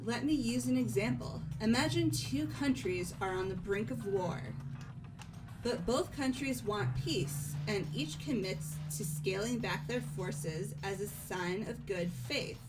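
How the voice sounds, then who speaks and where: A woman's voice: mid-range voice, unhurried, music in the background, close to an everyday voice, poor audio. A woman voicing a serious, explanatory student character in an amateur game dub.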